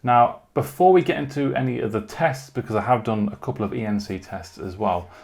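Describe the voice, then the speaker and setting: A man's voice: medium pitch, a little fast, gravelly, darkish tone. A man talking casually through a product unboxing and review in an informal way, with short asides.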